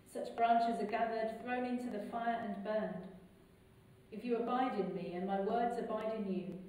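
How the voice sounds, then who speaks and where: A middle-aged woman priest with an English accent reads scripture and preaches in a steady, measured way. Her voice carries through a big stone church with heavy echo, picked up from a camera some distance away, with faint background hiss. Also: mid-range voice. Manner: measured, clear liturgical delivery. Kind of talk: gospel reading and sermon. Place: large stone parish church. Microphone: far camera. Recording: echoey church from far off.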